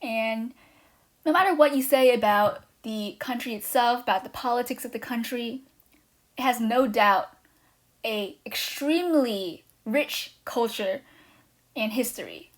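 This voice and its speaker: A woman hosting a language lesson channel, giving a scripted introduction in a quick, eager, slightly nervous way. Chinese is not her first language. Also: on the high side. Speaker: language teacher, channel host.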